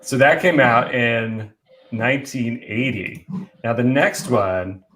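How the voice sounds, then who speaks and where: A man's voice: mid-range voice, slow pace, sharp tone, faint music behind. Chatty male podcast co-host. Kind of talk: casual film discussion among friends.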